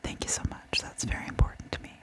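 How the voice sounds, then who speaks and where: A woman hosting an ASMR channel, speaking to viewers in a breathy, unvoiced whisper and softly repeating words. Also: fast talker. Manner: soft-spoken, repeats words gently. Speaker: host of a true crime ASMR channel.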